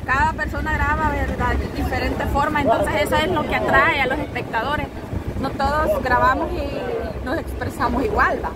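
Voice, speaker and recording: A woman's voice: sits high, fairly even intonation, moderate pace, a loud crowd. Chatty woman vlogging from a noisy truck. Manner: chatty, keeps calling out to her viewers. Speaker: vlogger filming a family trip. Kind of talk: casual vlog narration to viewers. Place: open-sided truck on a country road. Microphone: handheld phone camera. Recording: loud wind and engine noise, voices around.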